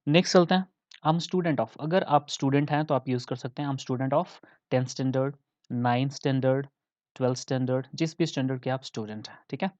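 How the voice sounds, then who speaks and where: A man's voice: mid-range voice, normal speed, a little mellow, dry recording, dull audio. Chatty Indian man teaching English. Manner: chatty, keeps checking in with listeners. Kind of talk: spoken English lesson mixing Hindi and English.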